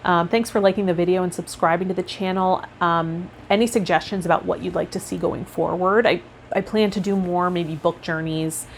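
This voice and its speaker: A woman vlogging casually and reflectively to her viewers, rambling a little and pausing mid-thought, with some guided meditation cues. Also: lowish voice, steady tone, slight background noise. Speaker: host of a tarot vlog and healer.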